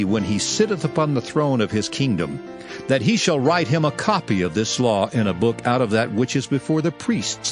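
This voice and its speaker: An actor voicing a solemn prophet proclaiming ancient law in a declamatory, emphatic dramatized scripture reading. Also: male voice, mid-range voice. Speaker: scripture narrator.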